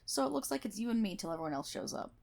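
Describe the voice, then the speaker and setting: A woman's voice: mid-range voice, lively intonation, rapid-fire, vocal fry, a little mellow. A woman playing a prickly, sarcastic guild healer in an online game, scolding and swearing one-on-one in an ASMR roleplay. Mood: grudging, wistful. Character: brash, prickly tsundere MMO guild healer, a woman gamer.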